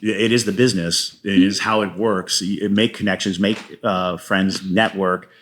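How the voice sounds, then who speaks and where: A man's voice: deep voice, steady tone, measured pace, fairly bright tone, a little hiss or hum. A man talking casually and at length as an interview guest, a wordy storyteller who explains his creative work. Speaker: guest playwright and podcaster.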